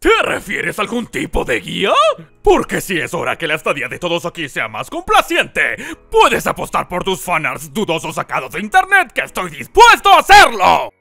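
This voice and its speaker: Flamboyant circus ringmaster cartoon, high bright voice, super showy, music behind. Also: male voice, huge pitch swings, normal speed, gravelly, exaggerated voice. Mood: excited, eager. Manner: showy, exclamatory, grandiloquent. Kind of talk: theatrical cartoon dub acting.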